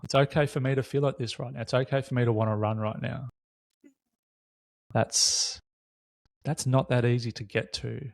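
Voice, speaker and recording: A man's voice: mid-range voice, fairly even intonation, moderate pace, creaky, pauses often, no echo, studio quality. A man hosts a reflective, unscripted conversation, speaking candidly and casually in short, considered bursts. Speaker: podcast host.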